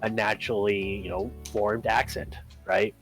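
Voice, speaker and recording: Low-voiced man asking probing questions. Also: steady tone, measured pace, a bit bright, soft background music, low-quality recording. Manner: questioning, hedging with "you know". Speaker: podcast co-host. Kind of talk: casual debate.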